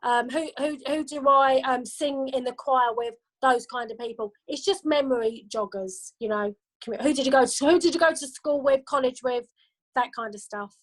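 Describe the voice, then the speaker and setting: A woman's voice: high-pitched, normal speed, rough recording. A woman guest trainer answering questions on a video call, informal and explaining with lists of examples.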